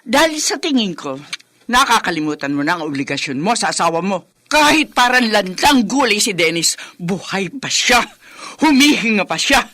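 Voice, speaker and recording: Sharp, bitter Filipino mother-in-law. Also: medium pitch, very animated, normal speed. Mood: angry, accusing. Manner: sharp, sarcastic, scolding. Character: bitter, accusing older mother-in-law, scornful and nagging. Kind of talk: Filipino radio drama acting.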